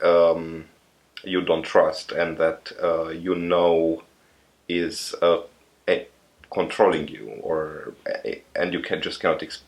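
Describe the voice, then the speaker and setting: A man in his thirties from Romania, giving reflective interview answers in a thoughtful, halting way with many pauses and restarts. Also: sits low.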